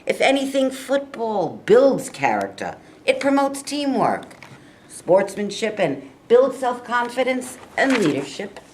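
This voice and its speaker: A woman playing an earnest, reassuring high school football coach in a staged reading, with a measured, persuasive delivery. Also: big pitch swings, a little mellow, slight background noise. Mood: defensive, persuasive.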